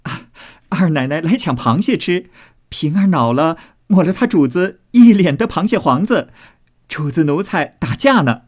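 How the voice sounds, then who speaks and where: A man's voice: medium pitch, very animated, moderate pace, a little mellow, voice pushed up. A man voicing a cheeky, spirited young maidservant in a storybook, saucy and mock-indignant with many exclamations. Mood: gleeful teasing.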